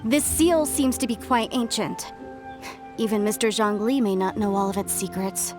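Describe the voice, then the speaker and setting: A woman voicing an earnest young scholar in a game, sharp-tongued and easily exasperated. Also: medium pitch, moderate pace, over music, lightly acted.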